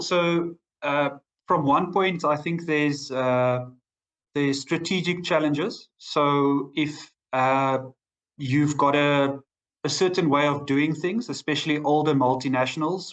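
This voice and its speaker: A man answering interview questions as a data executive, speaking in a measured, thoughtful way with occasional fillers. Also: fairly high pitch, fairly bright tone. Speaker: guest, head of data.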